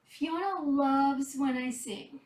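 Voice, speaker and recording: A woman who rescues cats, chatting casually and softly while tending kittens, her voice going a bit hoarse. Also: sits high, slow, roomy sound, far from the mic. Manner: chatty, soft-spoken, talks to the cats between remarks. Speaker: cat rescuer. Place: kitten room indoors.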